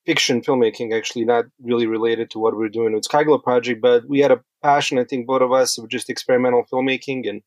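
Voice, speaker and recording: A man's voice: mid-range voice, fairly even intonation, fast, few pauses, dry recording. A man from rural Bosnia telling his story as a podcast guest, reflective and feeling his way through sentences. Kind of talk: conversational interview storytelling.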